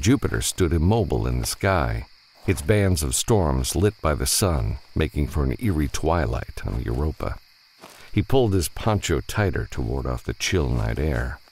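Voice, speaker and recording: A man narrating a science fiction novel as an audiobook, reading scripted prose in a gravelly voice. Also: extremely low pitch, darkish tone.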